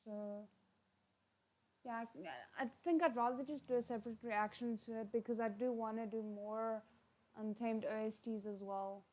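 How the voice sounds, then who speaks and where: Woman, fairly high pitch, casual livestream reaction host, expressive exclamations. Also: moderate pace. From South Africa. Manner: expressive, exclaims and giggles while watching. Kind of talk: casual live reaction commentary.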